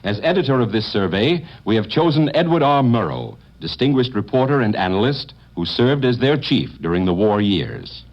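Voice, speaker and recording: A man's voice: mid-range voice, big pitch swings, normal speed, hardly any pauses. A man reading a formal sponsor announcement as a radio announcer, with a polished, emphatic delivery. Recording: dull lo-fi 1950 radio broadcast.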